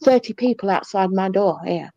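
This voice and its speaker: A woman's voice: mid-range voice, normal speed, dark tone, no echo, lo-fi sound. A British woman arguing heatedly in a blunt, indignant, foul-mouthed manner. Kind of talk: heated argument.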